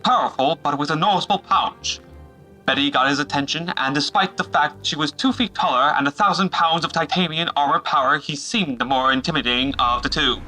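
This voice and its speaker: Very bright, forceful male narrator. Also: fairly high pitch, fairly quick, rough recording. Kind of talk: scripted dramatic story narration. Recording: music behind the voice.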